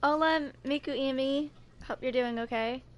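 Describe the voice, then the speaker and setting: A woman's voice: high-pitched, fairly even intonation, normal speed, background noise. A woman in her twenties chatting casually to viewers as a video creator. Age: 20s.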